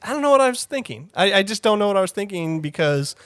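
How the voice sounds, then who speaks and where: Male podcast co-host, lighter pitch, close dry sound, casual and jokey interviewer. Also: sing-song, fast, dry recording. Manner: jokey, enthusiastic. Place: indoors at a small theatre.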